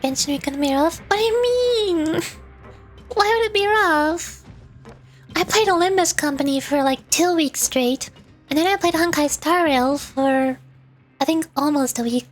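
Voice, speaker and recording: Female game streamer, high-pitched and slow-paced, playful casual chatter with cute spoken sound effects. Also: soft background music. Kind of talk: casual livestream gameplay chat.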